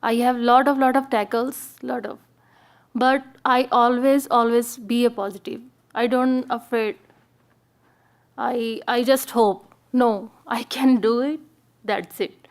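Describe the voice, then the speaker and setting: A woman with an Indian accent, a native Hindi and Punjabi speaker, telling her story on a panel in halting, simple English. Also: on the high side, fairly even intonation. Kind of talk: personal account on a panel.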